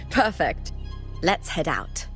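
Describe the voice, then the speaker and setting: A woman voicing a proud, warm, spirited champion warrior in a video game, with a deliberate, stately delivery. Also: fairly high pitch, full character voice. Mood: inviting. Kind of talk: voiced game dialogue.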